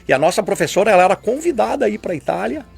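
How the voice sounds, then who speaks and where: A man's voice: high-pitched, big pitch swings, very fast, gravelly, darkish tone, husky, soft background music. An older man from Brazil, explaining history on a radio program in a lecturing way, often ending points with tag questions. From southern Brazil. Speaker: guest historian.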